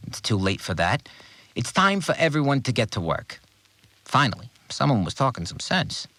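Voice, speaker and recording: A man playing a blunt, irritable, obsessively tidy cleaner in a first-person audio drama, plain-spoken and quick to swear. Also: fairly high pitch, gravelly, sharp tone, no echo, full character voice. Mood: irritated, relieved. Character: obsessively orderly, irritable male cleaner, simple-minded rule follower. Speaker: voice actor in a fiction podcast.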